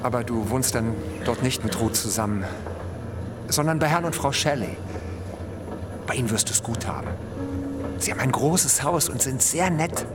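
A man playing a caring, worried father in a radio drama, speaking earnestly and gently to his child. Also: mid-range voice, close to an everyday voice. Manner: tender, earnest, instructing. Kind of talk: German radio drama acting. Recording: loud train-ride noise behind.

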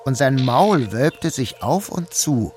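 A man narrating a literary novel in the first person, in a measured, subdued, dreamlike tone. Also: mid-range voice, big pitch swings, a little mellow, soft background music. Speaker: audiobook narrator.

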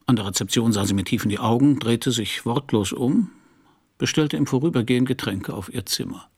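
Laconic private eye, husky and cracked, dryly telling his own case in a radio crime drama. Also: mid-range voice, a little fast, a little mellow. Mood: wry, reflective. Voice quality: husky, gravelly. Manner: laconic, dry. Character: world-weary male private detective narrating his own case.

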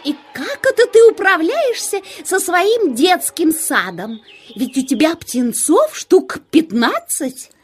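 A woman's voice: very high-pitched, very animated, takes it slowly, faint music behind, full character voice. A woman voicing a brash, nosy female cuckoo in a children's audio play, exclaiming in amazement. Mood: amazed.

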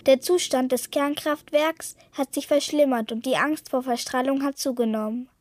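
An earnest, anxious young female protester voiced by a woman in a radio play. The voice is high and closely recorded with a dry sound, telling things in a simple, sincere way. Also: steady tone, moderate pace, darkish tone, no room sound, natural voice. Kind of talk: scripted radio-play monologue, read testimony.

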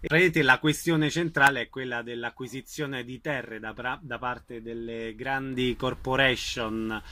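A man's voice: medium pitch, steady tone, unhurried, sharp tone, no echo. A man hosting a conversational radio interview in an informal, affirming way.

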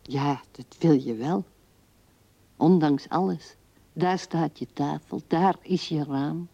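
Soft, low old widow. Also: female voice, creaky, no room sound, exaggerated voice. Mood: musing, wistful. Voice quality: hushed, soft, often dropping to whisper. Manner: musing, halting, intimate. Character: old widow musing tenderly at husband's grave. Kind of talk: radio drama monologue. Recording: dull old radio recording.

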